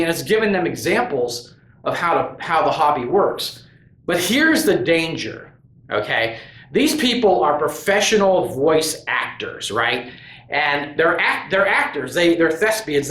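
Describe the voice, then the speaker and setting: Man, channel host, casual monologue, slightly high voice, lots of restarts, echoey room. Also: a bit bright. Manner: rambling, earnest, with many restarts and "you know". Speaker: host of a tabletop gaming crafts channel. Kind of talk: casual opinion monologue to camera.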